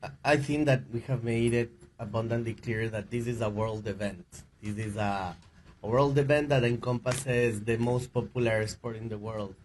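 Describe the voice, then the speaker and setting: A man's voice: medium pitch, fairly even intonation, normal speed, a little mellow, slight background noise, low-quality recording. A man from Ecuador, a rights advocate, giving an earnest press conference statement at a news conference and often repeating words as he searches for phrasing.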